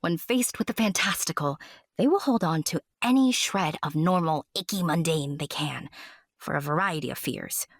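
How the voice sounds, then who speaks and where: Low, husky woman narrating a story. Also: expressive, normal speed, dry recording, studio quality. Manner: wry, playful, addresses the listener.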